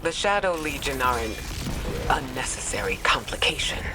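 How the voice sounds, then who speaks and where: A woman's voice: extremely low pitch, sing-song, normal speed. A woman acting a serious, resolute military strategist briefing allies in a video game. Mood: grave. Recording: music playing behind the voice.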